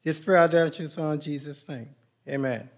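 A man leading the Lord's Supper and prayers in a large church sanctuary, speaking with a slow, measured, reverent cadence into a pulpit microphone. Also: fairly high pitch.

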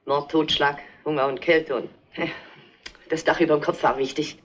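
A middle-aged actress playing a proud, grieving mother in a German crime drama, speaking in a deliberate, halting, emphatic way. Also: sits low, fairly even intonation. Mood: controlled grief. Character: grieving, proud mother defending her dead daughter.

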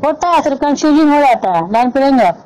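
A woman's voice: high-pitched, animated, fast, a little mellow. A woman on a recorded phone call, replying briefly and hesitantly, stalling and echoing back what she is told. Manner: stalling, echoes back instructions. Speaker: person on the phone. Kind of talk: hesitant, short phone replies.

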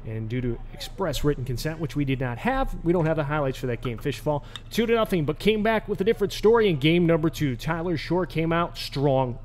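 A man hosting postgame baseball coverage, narrating highlights with energy and interviewing players on the field with a handheld mic. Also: fairly quick, hardly any pauses. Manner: energetic, builds to shouts on big plays. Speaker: sports network host and play-by-play narrator. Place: outdoors on a lit baseball field at night. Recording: outdoor field noise behind.

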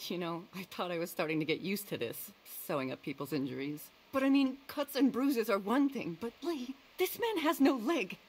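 An actress playing a weary, compassionate medic in a zombie video game, with a gentle, earnest way of speaking. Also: female voice, medium pitch, animated, moderate pace, few pauses, slightly rough. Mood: weary, troubled.

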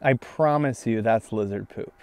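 Creaky-voiced young man, casual vlogger. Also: on the low side, normal speed, a little mellow. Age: 20s. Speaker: vlog host and reptile enthusiast. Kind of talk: casual outdoor vlog chat. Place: outdoors in open fields, some in a parked car. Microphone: clip-on lapel mic.

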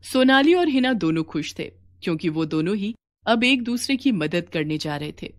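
Brief: storybook narrator, woman, mid pitch, very dry close sound. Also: very animated, normal speed, no room sound.

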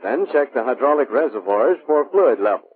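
An American man reading scripted instructional narration for a training film in a measured, clipped, instructive manner. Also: medium pitch, animated, slightly rough. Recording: muffled, phone-like vintage military film soundtrack.

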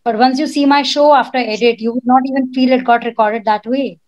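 Husky woman, high voice, chatty and confident, muffled lo-fi video call sound. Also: steady tone, a little fast, darkish tone. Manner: confident, chatty, says "like" often. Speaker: guest speaker, podcast producer. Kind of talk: casual advice talk. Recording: dull lo-fi video call audio.

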